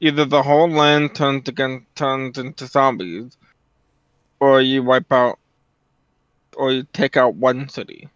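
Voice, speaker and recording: A man's voice: medium pitch, fairly even intonation, a little slow, pauses often, a bit bright, clean tone, no echo, dull audio. A man giving casual, opinionated commentary as a guest on a stream.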